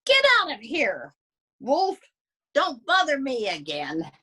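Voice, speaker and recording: A woman voicing a rude, indignant little male pig from a storybook, yelling dismissively. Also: high-pitched, huge pitch swings, slow, slightly rough, pitched above the performer's own voice, heavily acted. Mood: rude, annoyed. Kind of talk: storybook character voice.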